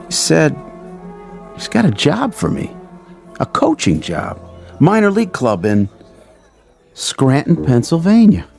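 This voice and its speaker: Animated, creaky, humble American ex-ballplayer. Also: male voice, fairly high pitch, slow pace, long pauses, faint music behind, heavily acted. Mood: amazed, puzzled. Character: down-on-his-luck ex-ballplayer, humble, doubtful, earnest. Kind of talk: vintage radio drama acting.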